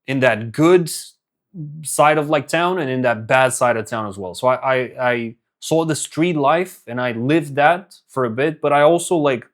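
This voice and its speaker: A man's voice: medium pitch, a little slow. Young man from Romania, business coach, casual pep talk, earnest. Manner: earnest, repetitive, many fillers and restarts. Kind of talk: casual motivational talk to camera.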